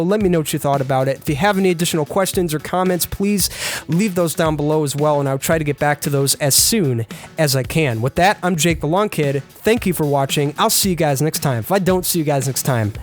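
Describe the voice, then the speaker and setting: A man's voice: a little high, fairly quick, faint music behind. A man hosting an upbeat how-to tutorial on lawn care, chatty and talking straight to his viewers as "you guys.".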